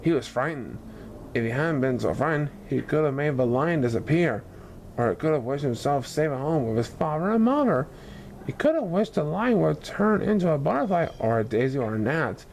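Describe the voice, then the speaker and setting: A man reading a children's storybook expressively, with dramatic, deliberate phrasing. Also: on the high side, sing-song, darkish tone, slight background noise. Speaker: story reader.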